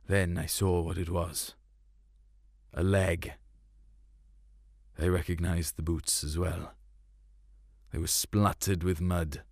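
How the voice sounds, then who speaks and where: A man's voice: low voice, no room sound, clean studio sound. A man reading a first-person story in a colloquial, melancholic way. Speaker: short story narrator.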